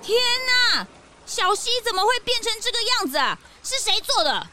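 A woman with a Taiwanese accent voicing a gentle, timid female deer in a children's audio drama, sweet and expressive. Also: very high voice, moderate pace, soft background music, exaggerated voice. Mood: dismayed.

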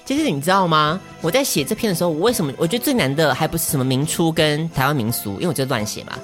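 A woman with a low voice and a Taiwanese Mandarin accent. She rattles along with lively intonation, wry and teasing in casual co-host banter. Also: very fast, faint music behind. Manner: wry, teasing, mock-earnest. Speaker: podcast co-host.

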